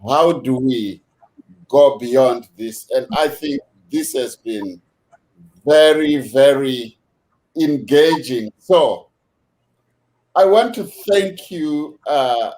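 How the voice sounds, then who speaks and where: A man hosting a video call discussion, measured and unhurried, with many fillers as he thanks his guests. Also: medium pitch, a little mellow, rough recording. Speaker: host.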